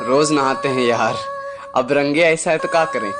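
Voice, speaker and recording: A man's voice: medium pitch, normal speed, natural voice. A young man playing an earnest, defensive village youth from Bihar or UP. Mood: shy, hesitant. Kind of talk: acted Hindi drama. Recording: music playing behind the voice. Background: music.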